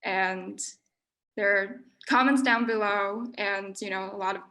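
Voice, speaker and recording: A young woman from Taiwan gives a casual slide talk about her art in a university lecture room. She talks in a mid-range voice, pausing often and restarting her sentences. Her manner is relaxed and unpolished. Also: medium pitch. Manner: hesitant, many fillers and restarts. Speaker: guest artist giving a talk. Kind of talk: informal slide presentation.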